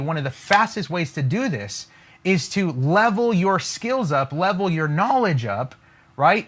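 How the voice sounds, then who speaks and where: Male business coach, slightly high voice with big swings in pitch, emphatic whiteboard pitch to viewers. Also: a bit bright. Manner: emphatic, keeps asking "right?" and "okay?". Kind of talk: motivational training at a whiteboard. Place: room with a whiteboard.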